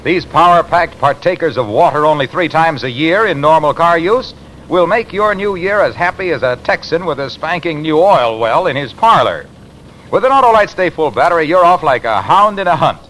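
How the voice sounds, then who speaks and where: A man's voice: a little high, lively intonation, fairly bright tone, rough recording. An American man delivering a folksy, jaunty vintage radio commercial as an announcer. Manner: jaunty, folksy, rapid-patter salesman. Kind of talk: vintage radio advertising pitch. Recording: hissy vintage radio recording.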